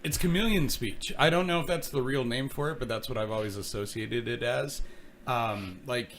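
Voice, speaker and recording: A man's voice: medium pitch, normal speed, a bit bright, slight background noise. Wry, teasing podcast co-host man. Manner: wry, teasing, explains things. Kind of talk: casual chat.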